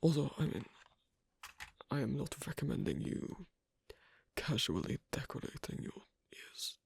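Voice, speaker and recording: A performer acting as an asocial werewolf murmurs in a very breathy, husky half-whisper with a gravelly creak. The delivery is slow, halting and guarded, yet shyly affectionate, as in an intimate ASMR roleplay. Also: medium pitch, big pitch swings, dry recording, full character voice. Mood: reserved, gently advising. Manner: halting, soft-spoken, hesitant. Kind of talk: ASMR roleplay, one-sided intimate conversation.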